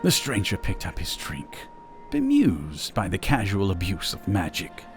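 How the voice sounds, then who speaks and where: A man's voice: low voice, wildly swooping pitch, a little slow, faint music behind. A man narrating a fantasy audio drama in a scripted storytelling style.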